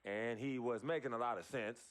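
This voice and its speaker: A young college basketball player from a video game cutscene, played by a man. He talks quickly and casually, easygoing but hesitant and torn. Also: medium pitch, lightly acted. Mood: unsure. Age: young adult.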